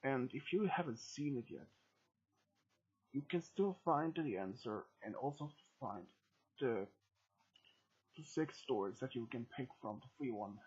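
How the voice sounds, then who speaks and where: A man with a Swedish accent and a sore throat, speaking English as a second language in a hoarse, husky voice and giving a halting, casual update to his channel's viewers. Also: mid-range voice, expressive, a little mellow. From Sweden. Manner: halting, non-native phrasing with many restarts. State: sore throat and cold, voice deeper than usual. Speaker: YouTube storyteller. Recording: dull lo-fi home recording.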